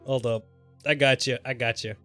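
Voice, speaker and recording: A man live-streaming a game with casual, exclamatory commentary, reacting out loud and swearing freely. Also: mid-range voice, steady tone, normal speed, faint music behind.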